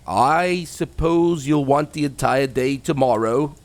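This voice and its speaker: A man playing a miserly, grumpy, cold-hearted older businessman in a radio play, with a gruff, scornful, curt delivery. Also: medium pitch, takes it slowly. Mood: grumbling, impatient.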